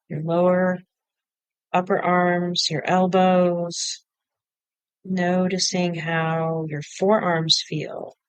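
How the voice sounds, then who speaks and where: A woman leading a guided meditation, giving gentle step-by-step instructions in short phrases with pauses between them. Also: on the low side, clear, resonant tone, no echo, rough recording. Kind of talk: guided body-scan meditation.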